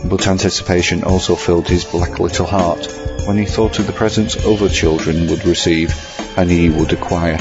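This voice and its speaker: A man narrating a scripted horror tale in a formal, measured, literary storytelling manner. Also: sits low, steady tone. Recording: muffled lo-fi, music underneath. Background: music.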